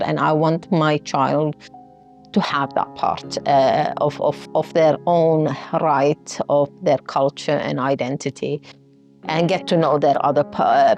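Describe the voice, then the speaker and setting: A woman's voice: low-pitched, darkish tone. A woman from Finland who was raised in Kuwait, telling her life story in an interview, reflective and searching for her words.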